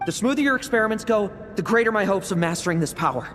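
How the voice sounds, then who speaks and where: An actor playing a sly, ambitious knight in a video game, smug and sardonic, with a theatrical delivery. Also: male voice, high voice, expressive, quick pace, a bit bright. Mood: guarded, wry. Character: sly, ambitious male knight, cool and mocking schemer. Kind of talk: dramatic video game acting. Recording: long cavernous reverb, faint background noise.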